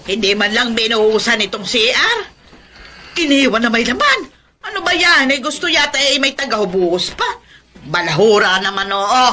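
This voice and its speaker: A woman's voice: fairly high pitch, expressive, normal speed, bright, forceful tone, a little hiss or hum. A woman playing a sour, nagging older aunt in a Filipino radio drama, scornful and exclamatory. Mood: disgusted.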